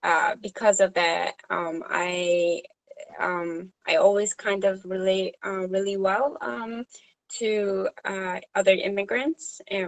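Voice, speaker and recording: Slow, hesitant woman. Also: mid-range voice, low-quality recording. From Korea. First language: Korean. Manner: hesitant, many fillers and restarts. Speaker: social worker. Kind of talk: supervision meeting conversation.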